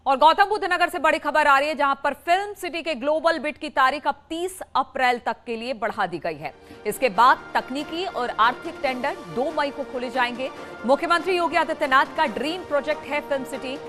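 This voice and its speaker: A woman anchoring a television news bulletin in a high-pitched voice. She reads formally and emphatically, repeating the headline points. A clear music bed plays under her voice. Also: expressive, moderate pace. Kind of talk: broadcast news reading.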